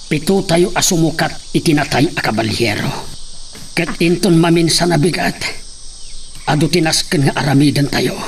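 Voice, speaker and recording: A man acting as an earnest, resolute father and husband in a radio drama, speaking gravely and with measured delivery. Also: on the high side, slight background noise. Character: earnest father and husband planning a village resistance.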